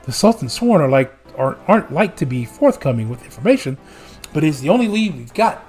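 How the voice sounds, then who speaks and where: A man reading aloud as a gruff, streetwise male rogue in a put-on drawl, from a video game. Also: fairly high pitch, big pitch swings, a little fast, a little mellow, faint music behind. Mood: wary.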